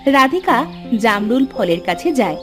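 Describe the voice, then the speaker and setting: Lady reading a kids fairy tale, high animated voice, music going behind. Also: measured pace. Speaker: narrator. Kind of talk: scripted children's story narration.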